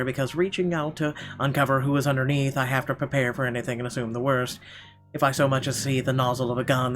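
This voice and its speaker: A man reading visual novel narration aloud in a theatrical way, now and then stumbling over words. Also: mid-range voice. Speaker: gaming video host. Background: game music.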